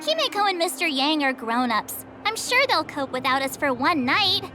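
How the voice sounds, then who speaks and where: Radosna nastolatka o bardzo wysokim głosie. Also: female voice, high voice, big pitch swings, moderate pace, music in the background. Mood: coaxing, insistent. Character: bubbly, pushy teenage girl adventurer. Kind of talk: video game voice acting.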